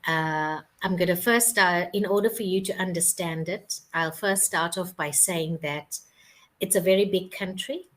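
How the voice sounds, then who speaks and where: A woman's voice: lowish voice, unhurried, a bit bright. A South African woman tutoring English on a video call, explaining patiently and checking that she is understood. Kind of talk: casual video-call conversation.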